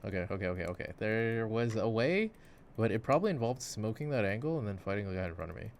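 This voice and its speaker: Male, very low voice, musing ASMR gamer chat, light clicking and typing behind. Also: expressive, fast. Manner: reflective, musing. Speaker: ASMR voice actor playing games. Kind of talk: intimate ASMR gameplay chat. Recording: clicking mouse and keyboard noise. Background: mouse clicks and keyboard typing.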